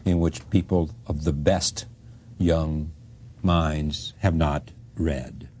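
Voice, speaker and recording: A man with a very deep, husky voice conducts an interview. He speaks slowly, in a dark, relaxed tone. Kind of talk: interview questioning.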